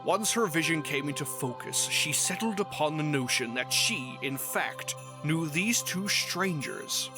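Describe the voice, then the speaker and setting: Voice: mid-range voice, animated, unhurried, few pauses, over music. A narrator reading a scripted fantasy story in a storybook style.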